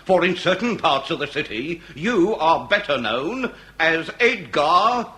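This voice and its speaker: A man's bright, rather high voice over faint noise, a shrewd gentleman investigator in a period radio comedy thriller. He is florid and insistently polite, with lively swoops. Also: measured pace. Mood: suave, courteous. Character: suave, shrewd gentleman investigator, courteous and probing.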